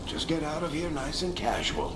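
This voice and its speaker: An actor playing a seasoned, assured adventurer who leads the way in a video game. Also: male voice, a little high, very animated, normal speed, noisy background. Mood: dry, resolved. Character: gruff, dry-witted seasoned male adventurer, urgent leader. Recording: roomy sound under loud game music.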